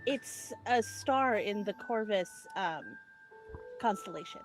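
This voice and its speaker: A woman's voice: medium pitch, lively intonation, takes it slowly, faint music behind, low-quality recording. A woman playing a tabletop game, describing her character's familiar in a chatty way with lots of ums and likes. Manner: chatty, many ums and likes, laughs often. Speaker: player.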